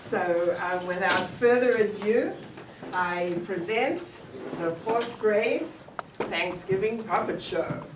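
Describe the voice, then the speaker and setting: A woman speaking as a teacher, introducing a show to an audience in a measured, deliberate way with pauses. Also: medium pitch. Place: echoey indoor room at a school event. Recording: roomy, distant mic, clearly noisy.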